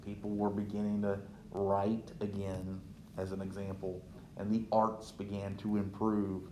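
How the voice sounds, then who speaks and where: A man giving an unhurried history lecture as a teacher, checking in with "right?" as he goes. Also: lowish voice, monotone, dark tone, reverberant, a little hiss or hum, poor audio. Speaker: social studies teacher.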